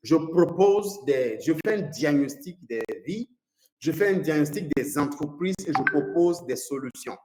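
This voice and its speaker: A man's voice: a little high, animated, a little mellow, slight background noise. Nigerian man teaching a seminar, echoey room. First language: English. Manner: halting, with many fillers and repeated words. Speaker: business consultant and coach. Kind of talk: seminar talk to a live audience.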